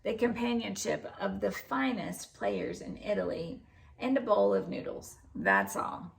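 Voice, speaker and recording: A brusque storybook maestro, voiced by a woman, curt and dismissive with his players. The voice is heavily creaky and moves around in pitch as he bargains. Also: wildly swooping pitch, measured pace, far from the mic, exaggerated voice. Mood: grudging, businesslike. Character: brusque male maestro of a traveling troupe.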